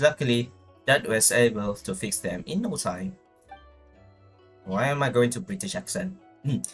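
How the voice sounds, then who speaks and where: A man streaming a video game in a casual, think-aloud way. His mid-pitched voice gets animated as he reads game text out. Quiet music runs behind him. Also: breathy edge.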